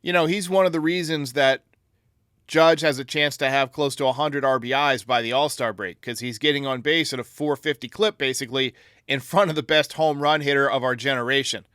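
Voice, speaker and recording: A man's voice: mid-range voice, a little fast, bright, forceful tone, smooth, no room sound, studio quality. A man hosting a podcast, answering fan voicemails with emphatic, opinionated sports talk. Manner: emphatic, argumentative.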